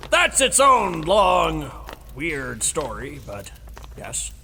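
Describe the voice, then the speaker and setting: An actor in an audio drama playing a gruff old dragon-slaying veteran, defensive and blustery, with a gravelly, rambling delivery. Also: male voice, a little high, huge pitch swings, very slow, bright, forceful tone, a little hiss or hum, full character voice. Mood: blustery, insistent. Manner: blustery, rambling storytelling, stammers when challenged. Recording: reverberant hall with music behind.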